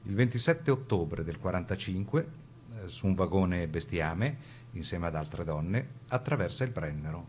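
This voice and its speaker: A man's voice: sits low, expressive, measured pace, darkish tone, slight background noise. A man narrating a historical account for a radio drama, in documentary style.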